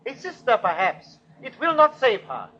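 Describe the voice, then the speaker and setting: An actor playing a ruthless, fanatical German army captain with a commanding, clipped delivery in an old-time radio drama. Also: male voice, very high voice, huge pitch swings, moderate pace, sharp tone, breathy edge, heavily acted. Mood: harsh, declamatory. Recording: dull vintage radio recording.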